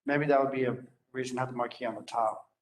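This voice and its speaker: Quick-talking man at an informal meeting. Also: medium pitch, steady tone. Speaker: board member at meeting. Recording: faint background noise.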